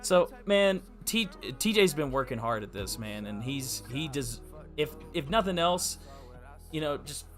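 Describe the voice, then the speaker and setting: A man's voice: a little high, expressive, a little slow, fairly bright tone, soft background music. A man co-hosting a casual podcast as its producer, talking emphatically in slang and repeating himself to drive points home.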